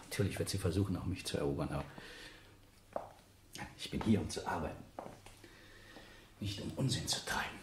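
A man acting a brooding, self-important scholar in a radio play, deliberate, theatrical and dismissive as he argues with himself. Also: low voice, reverberant, background noise. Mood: disdainful, brooding. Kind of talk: German radio play monologue.

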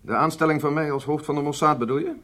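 An actor playing an earnest, reluctant male intelligence chief in a radio drama, grave, emphatic and deliberate. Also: a little high, fairly even intonation, fairly bright tone, lightly acted. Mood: sombre.

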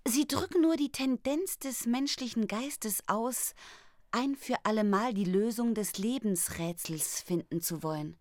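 A woman's voice: on the high side, a little slow, dry recording, studio quality, natural voice. An actress playing a clever, earnest and spirited young female intellectual in a radio drama. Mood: wry. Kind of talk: German radio drama acting.

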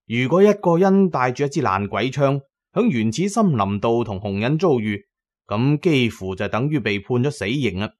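A man's voice: mid-range voice, very animated, moderate pace. A man with a Cantonese accent narrating an audiobook as a dramatic storyteller, with colloquial asides.

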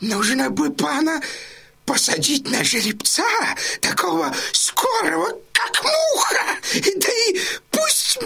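A timid, wheedling flatterer in a radio play. The voice is very high and creaky, sliding widely in pitch. It stammers and wails with obsequious eagerness. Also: male voice, slow, full character voice. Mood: gushing flattery. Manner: stammering, repetitive, wailing, effusively obsequious. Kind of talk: theatrical audio drama acting.

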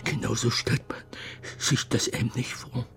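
An actor playing a guilt-laden, evasive older widower in a radio drama, clipped and muttering, with a breathy voice that often sinks near a whisper. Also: male voice, mid-range voice, fairly even intonation, a bit bright, soft background music, natural voice. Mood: defensive, shaken. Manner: halting, clipped, muttering colloquially. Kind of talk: German radio drama acting.

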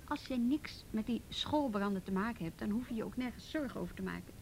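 An actress playing a brisk, commanding female police investigator, businesslike and directive in a naturalistic radio drama. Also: fairly high pitch, expressive, darkish tone, a little hiss or hum.

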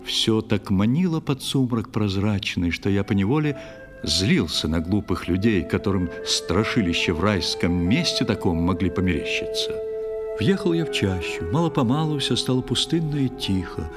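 A man's voice: lowish voice, moderate pace, big-room sound, natural voice. An actor playing a proud, ardent young knight who tells his adventures in dramatic verse for a children's audio play. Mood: dramatic suspense. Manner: dramatic, expressive declamation. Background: faint music.

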